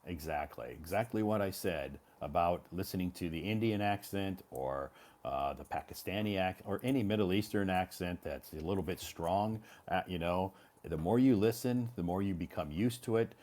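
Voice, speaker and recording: A low-voiced American man in the role of English teacher. He gives conversational advice in an encouraging way, repeating key points for emphasis. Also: normal speed, few pauses, a little mellow.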